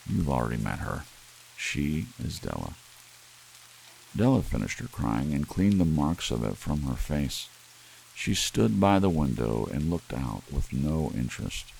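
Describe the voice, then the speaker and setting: A man with an extremely deep, gravelly voice narrates an audiobook in a soft, measured ASMR style. His intonation rises and dips in a lively way as he tells the story. A gentle hiss of rain runs behind his reading. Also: poor audio.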